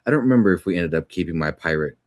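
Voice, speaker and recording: A young adult man with a deep natural speaking voice, talking as a voice actor guest in a casual interview, easygoing and reflective with plenty of fillers. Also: lively intonation, racing, dry recording, clean studio sound.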